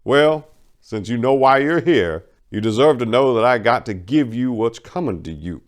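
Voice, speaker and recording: A man's voice: mid-range voice, animated, moderate pace, pitched above the performer's own voice, close to an everyday voice. A man voicing a mocking old crooked mayor in a crime story, jovially menacing and talking in drawling street slang. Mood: taunting. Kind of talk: dramatic reading of a crime story.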